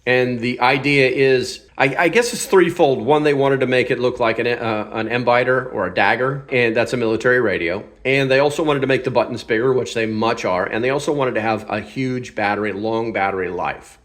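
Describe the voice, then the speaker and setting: A man's voice: medium pitch, fairly quick, few pauses, fairly bright tone. Blunt, informal man reviewing gear. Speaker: product reviewer and channel host. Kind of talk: casual hands-on gear review.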